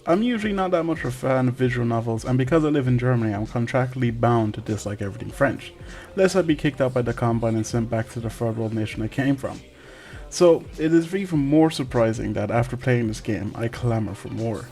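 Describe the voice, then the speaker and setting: Male reviewer, mid-pitched, tongue-in-cheek scripted narration, music underneath. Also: animated, a little fast, darkish tone. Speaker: video game reviewer.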